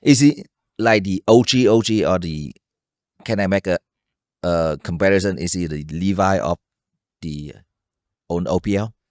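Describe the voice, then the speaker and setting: Deep-voiced Vietnamese-accented male podcast host. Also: a little slow, long pauses, dry recording, studio quality. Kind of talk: casual chat, asking questions.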